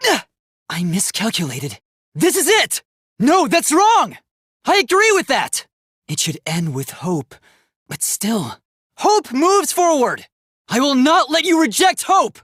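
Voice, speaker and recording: A man's voice: very high voice, wildly swooping pitch, measured pace, a bit bright, no room sound, studio quality, full character voice. A man voicing an earnest, polite young male robot student in a dramatic anime game, with formal, precise, emphatic diction. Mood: determined, rousing. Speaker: video game voice actor.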